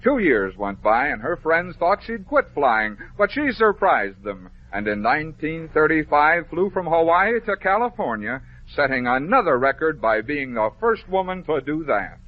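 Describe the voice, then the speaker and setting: An American man narrating a scripted story for children as a 1950s radio host, phrasing it clearly and dramatically. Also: a little high, very animated, normal speed, hardly any pauses. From American Midwest. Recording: dull, noisy vintage 1950s radio recording.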